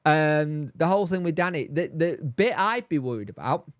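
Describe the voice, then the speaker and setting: A man with a somewhat high voice that sounds bunged up, nasal and throaty, as if he has a cold. He rambles and repeats himself, chatting casually to his viewers. His voice is recorded close and dry, with no room sound. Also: lively intonation, normal speed, no echo. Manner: rambling, repeats himself, answers chat by name. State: congested, bunged up. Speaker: fan channel host reading live chat. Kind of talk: casual live-stream chat.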